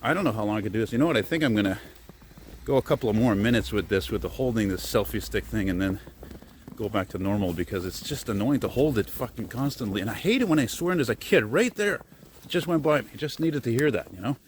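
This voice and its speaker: An older man with a deep baritone voice, chatting as he vlogs on a walk through snowy city streets. He meanders and mutters, with mild swearing and self-deprecating asides. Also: lively intonation, fast talker, a little hiss or hum. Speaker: vlogger. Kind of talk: rambling walking vlog. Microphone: phone on a selfie stick.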